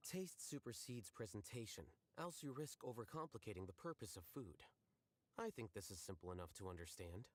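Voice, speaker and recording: An actor playing an aloof, bookish young male scholar in a video game, speaking in a measured, precise, deadpan way. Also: fairly low pitch, no room sound. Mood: detached, wry. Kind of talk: scripted video game voice lines.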